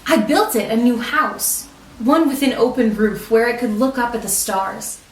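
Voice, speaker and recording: Female storybook reader, highish voice with lively melody, deliberate children's read-aloud delivery, recorded in a roomy space. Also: slight background noise. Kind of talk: read-aloud picture book narration. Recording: roomy echo, distant microphone.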